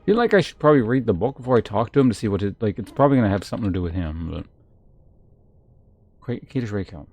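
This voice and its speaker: A man's voice: fairly low pitch, very animated, fast, a little mellow, soft background music. A man streaming a game, informally commenting on play and reading its text aloud, with muttered asides.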